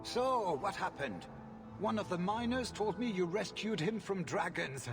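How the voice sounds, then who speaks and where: An actor playing a shrewd, smooth-talking mine owner in video game cutscene dialogue. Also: male voice, sits high, lively intonation, measured pace, music in the background. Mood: businesslike. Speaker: game character.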